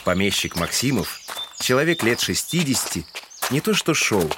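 A man's voice: mid-range voice, very animated, moderate pace, background noise. A man reading scripted literary narration as the narrator of an audio drama.

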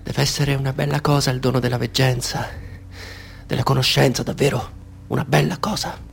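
An actor playing a polite, soft-spoken stranger in a radio drama who talks in a calm, measured way with a hidden menace. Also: male voice, medium pitch, gravelly, slight background noise, close to an everyday voice.

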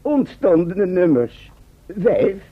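An actor in a Dutch radio play playing an eccentric, self-assured time traveller who explains patiently and checks that listeners follow. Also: male voice, sits high, sing-song, slow pace, heavily acted. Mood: storytelling. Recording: muffled, band-limited old radio recording.

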